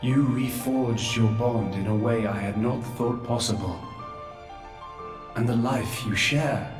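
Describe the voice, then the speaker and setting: An actor playing an ancient, remorseful creator figure who speaks slowly and gravely. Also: male voice, mid-range voice, natural voice. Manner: slow, grave, measured. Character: ancient godlike male creator, solemn and remorseful. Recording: echoey far-off voice with hall reverb and music.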